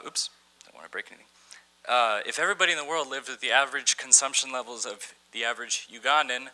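A young man at a podium microphone in a big dark lecture hall, his voice very bright and forward. He speaks haltingly, full of "uh"s and restarts. Wry, offhand asides break into his conference talk. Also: mid-range voice. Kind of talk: conference talk with some reading aloud.

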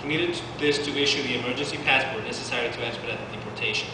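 Young man reading fast in an echoey bookstore. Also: mid-range voice. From Colombia. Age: 20s. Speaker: author reading his fiction. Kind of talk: literary reading. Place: small bookstore indoors. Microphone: far camera. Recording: distant, echoey, noisy room.

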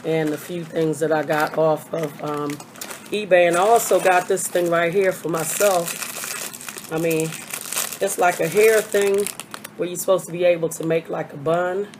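An older woman, hoarse from a cold, chatting casually through a haul video at her webcam with lots of fillers. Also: fairly low pitch, steady tone, unhurried, slight background noise, poor audio. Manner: chatty, rambling with many fillers. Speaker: vlogger. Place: small bedroom. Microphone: webcam at desk.

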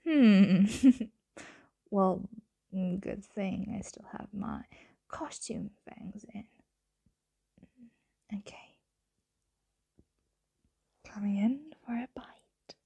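A woman acting a flirtatious, teasing roommate playing vampire in an intimate ASMR roleplay, speaking softly and often whispering, with hesitant murmurs. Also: mid-range voice, extremely slow, pauses often, highs cut off, heavily acted. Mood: sultry, surprised. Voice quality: soft, breathy, frequently whispering.

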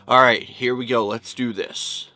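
A man's voice: medium pitch, steady tone, measured pace, pushed and bright. Nasal, congested man vlogging. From New York, US. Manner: chatty, rambling with fillers. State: congested, stuffy nose. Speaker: YouTube reaction host. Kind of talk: casual reaction vlog. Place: small room full of horror collectibles.

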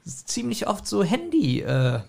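Higher-voiced man chatting casually. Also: wildly swooping pitch, takes it slowly, vocal fry, a little mellow. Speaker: podcast host. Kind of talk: casual chat, retelling and reviewing an audio drama.

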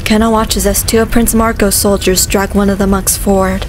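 A woman narrates a scripted fantasy story. Her medium-pitched voice stays fairly flat, with light music underneath. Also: normal speed.

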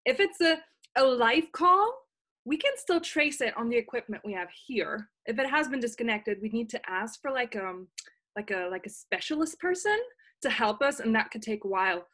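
A woman's voice: on the high side, lively intonation, normal speed, fairly bright tone. A young woman playing a ditzy, casual, apologetic chief operator in a comic play, hesitant and full of filler words. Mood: puzzled, hesitant. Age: young adult. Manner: hesitant, filler-laden valley-girl phrasing. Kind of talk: comic stage-play acting over video call. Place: small plain room at home. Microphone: webcam video call.

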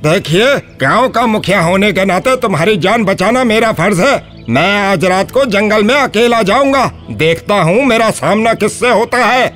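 High-voiced, earnest village headman played by a man. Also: expressive, normal speed, hardly any pauses, fairly bright tone, soft background music. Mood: resolute. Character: dutiful, bold male village headman, diplomatic. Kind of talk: animated story acting.

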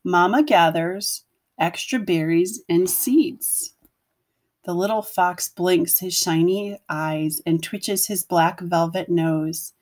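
A woman's voice: on the low side, takes it slowly, darkish tone. A woman teacher reading a picture book aloud to children, explaining things simply and putting questions to her listeners.

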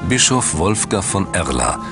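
Male documentary narrator, very deep, measured and dramatic, music bed underneath. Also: very animated. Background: background music.

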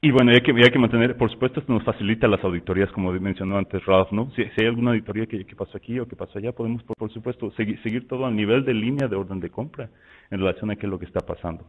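A man with a Mexican Spanish accent talks through a software demo in a relaxed, rambling way, stopping and restarting his phrases. His voice sits low. The sound is narrow and tinny, like a phone line on a video call. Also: very fast. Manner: loose and conversational, many fillers and restarts. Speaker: software presenter. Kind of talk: webinar product walkthrough.

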